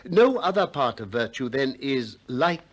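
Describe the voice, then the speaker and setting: An actor playing a shrewd, probing Athenian philosopher in a 1960s radio drama, deliberate and courteous with polite irony. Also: male voice, medium pitch, sing-song. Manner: deliberate, politely ironic, questioning.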